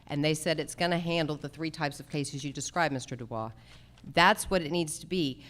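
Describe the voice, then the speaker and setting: A woman with a slightly low voice gives campaign remarks at a candidate forum. She is declarative and emphatic, landing her points in short, punchy sentences. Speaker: judicial candidate at a public forum.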